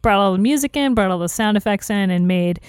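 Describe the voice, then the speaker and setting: A young woman from Florida co-hosting a casual podcast, playful and jokey, quick to chime in. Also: mid-range voice, clear, resonant tone, no room sound. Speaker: podcast co-host.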